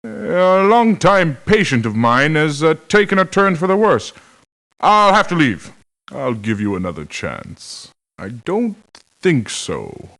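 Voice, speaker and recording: An actor playing a dignified, proud doctor turned mercenary in a video game, speaking in formal, stern phrases. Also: male voice, fairly high pitch, big pitch swings, gravelly, a bit bright, heavily acted. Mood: apologetic. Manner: formal, measured wording, reproachful. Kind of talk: video game voice acting, short scripted lines.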